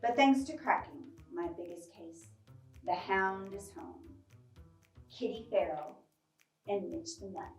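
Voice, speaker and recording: A woman's voice: mid-range voice, lively intonation, unhurried, pauses often, distant mic. Woman acting out a female cat sleuth, storybook noir narration, clipped and dramatic, echoey, music behind. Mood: wry, resolved. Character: hard-boiled female cat detective narrating her case. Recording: roomy, off-mic, music behind.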